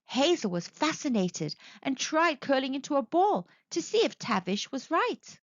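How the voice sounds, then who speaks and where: A woman storyteller reading a children's picture book aloud with expressive narration. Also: high voice, measured pace, dry recording, highs cut off.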